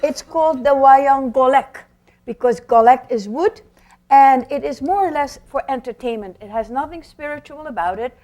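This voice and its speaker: A woman's voice: high voice, normal speed, a little mellow. A woman presenting puppetry in an explanatory storytelling talk, theatrical and emphatic, with rhetorical questions.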